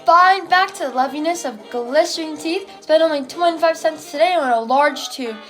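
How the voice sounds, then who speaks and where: Highish young woman on old-time radio. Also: moderate pace, music in the background. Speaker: radio presenter. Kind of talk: scripted 1920s radio broadcast with period slang.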